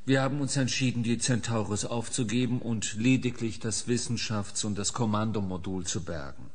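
An actor in a German audio drama playing a sober scientist who reports in a formal, matter-of-fact way. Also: male voice, fairly low pitch.

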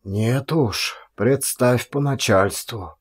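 Man acting a pitiful stubborn vagrant, fifties, slow, husky, weak pleading, audiobook character. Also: mid-range voice. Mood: weary pleading. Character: exhausted, pitiful, stubborn fifty-year-old male vagrant.

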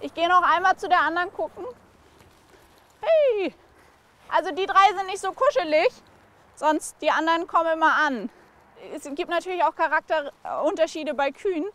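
A woman in her twenties, a physiotherapist helping on her family's farm, talking quickly and lively outdoors in a documentary. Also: very high-pitched. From Germany. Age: 20s. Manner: lively, chatty, explains things quickly. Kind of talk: documentary interview and walk-and-talk. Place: outdoors on a farm, in a barnyard and pasture.

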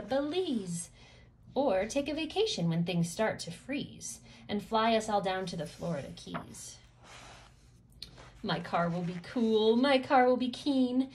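A woman with a medium-pitched voice reads a rhyming picture book to children. Her delivery is expressive and follows the bounce of the verse, with clear stresses on key words. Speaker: host reading a picture book aloud. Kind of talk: expressive rhyming storybook read-aloud for children.

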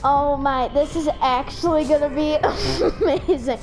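A woman giving casual gameplay commentary as a host, in an excitable, giggly manner. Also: sits high, a little slow, faint music behind, low-quality recording. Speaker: host, gaming YouTuber.

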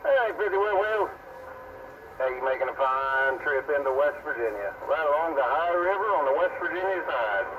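A man's voice: fairly high pitch, lively intonation, normal speed, narrow, tinny audio. An American man chatting casually and swapping call signs as a CB operator, heard over the radio. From United States. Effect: received over CB radio. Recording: distant, very noisy CB radio transmission. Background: loud radio static.

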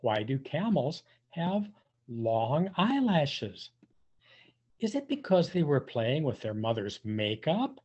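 An older man reading a picture book aloud to young children, with drawn-out emphasis and playful questioning. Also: medium pitch, sing-song, a little mellow, slightly rough. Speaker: volunteer storybook reader for young children. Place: indoors, on a video call. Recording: dull, muffled webcam audio.

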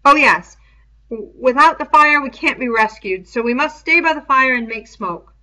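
Storybook schoolboy leader played by a woman: earnest, halting, insistent, high and forceful. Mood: urgent, insistent. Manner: emphatic, halting, repeating himself. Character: earnest young boy leader struggling to persuade. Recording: dull, muffled lo-fi home recording.

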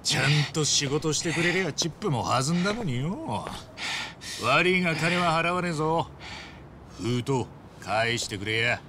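A man voicing an easygoing but fearless Japanese tough traveller in a video game cutscene, with casual, rough street speech. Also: on the high side, lively intonation, unhurried, bright, forceful tone, a little hiss or hum. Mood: stern.

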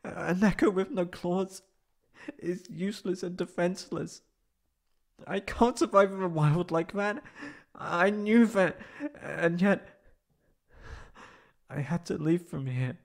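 A man voicing a wounded, anxious young catboy in an emotional ASMR roleplay, halting and stammering tearfully. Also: sits high, vocal fry, exaggerated voice. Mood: distraught, pleading.

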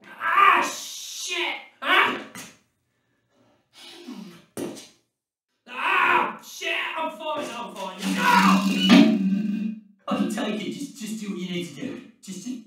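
An actor playing a manic, foul-mouthed British criminal with an erratic, volatile way of talking in a video game. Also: male voice, very high voice, big pitch swings, unhurried, exaggerated voice. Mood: pained, frantic. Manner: sweary, erratic, swinging from soothing to ranting. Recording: echoey, distant, music behind.

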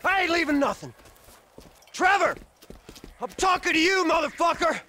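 An actor voicing a strung-out, heartbroken biker in a video game, shouting and then pleading. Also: male voice, very high voice, big pitch swings, moderate pace, heavily acted. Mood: drunken fury. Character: heartbroken, drug-addled male biker. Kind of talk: video game cutscene acting.

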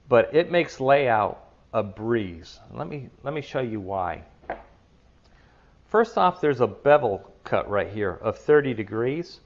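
Middle-aged guy demoing a woodworking tool, chatty, gets excited, mid pitch, kinda dull lo-fi audio. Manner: enthusiastic, chatty, with fillers. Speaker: woodworking channel host. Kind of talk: casual tool demonstration. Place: home kitchen, indoors. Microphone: lapel mic.

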